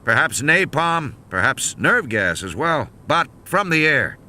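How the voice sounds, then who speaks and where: A man's voice: on the high side, big pitch swings, unhurried, bright, forceful tone, slight background noise, voice pushed up, heavily acted. A man voicing a practical male game warden in an audiobook, matter-of-fact and explanatory. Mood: grave, warning. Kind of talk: audiobook character dialogue.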